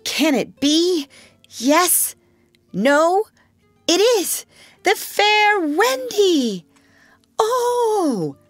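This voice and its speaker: A woman voicing a cheeky little boy who cries out in loud bursts. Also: extremely high pitch, big pitch swings, drawn-out words, long pauses, dry recording, faint music behind, voice pushed up, exaggerated voice. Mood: thrilled.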